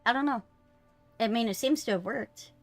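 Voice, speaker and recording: A woman's voice: on the high side, normal speed. A young woman streamer reacting casually to a game with blunt, playful interjections. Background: soft background game music.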